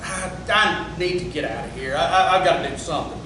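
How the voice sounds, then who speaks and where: An actor reading a proud, volatile, embittered working-class man in a stage drama, agitated, halting and profane. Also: a little high, animated, recorded from a distance, lightly acted. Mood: bitter, despairing. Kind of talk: theatrical table reading. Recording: very echoey room from afar, faint noise.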